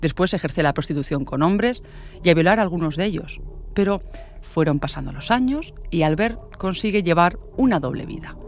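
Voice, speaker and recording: A woman's voice: on the low side, lively intonation, moderate pace, soft background music. A woman from hosting a podcast, reading scripted true-crime narration. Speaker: podcast host and author.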